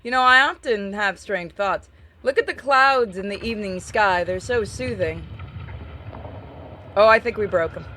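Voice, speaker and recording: A woman's voice: mid-range voice, unhurried, bright, forceful tone, slight background noise, poor audio. A woman hosting a game playthrough, reading on-screen dialogue aloud and adding casual asides. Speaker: gaming video host.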